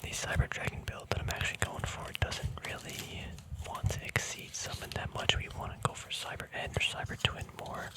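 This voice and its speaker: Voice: fairly quick, hardly any pauses. An ASMR host speaking in a casual, rambling whisper, hesitant and thinking aloud. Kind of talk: ASMR whispering, casual card unboxing chat.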